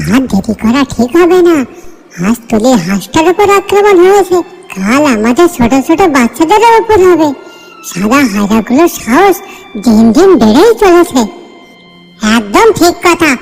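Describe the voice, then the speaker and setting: A woman's voice: high voice, very animated, moderate pace, creaky, a little mellow, exaggerated voice. A woman voicing an alarmed cartoon villager who speaks with urgent pleading. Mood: alarmed urging. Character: alarmed villager urging the headman to act. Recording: long hall reverb, faint music.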